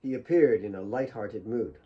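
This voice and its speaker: A man's voice: fairly low pitch, normal speed, lo-fi sound. A man reading his own fiction as a dramatic audiobook narrator.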